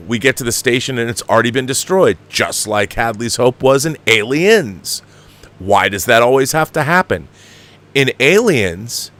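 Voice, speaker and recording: A man's voice: medium pitch, fairly bright tone. An American man in his fifties hosting an opinionated film commentary show, emphatic and exasperated, often repeating himself for emphasis. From United States. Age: 50s. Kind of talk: opinionated film commentary, reading viewer questions.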